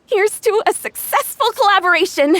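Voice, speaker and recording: A woman's voice: very high-pitched, lively intonation, normal speed, a bit bright, no room sound. A woman playing a sweet, earnest young woman in a video game, politely hesitant, modest and apologetic. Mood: grateful, cheery.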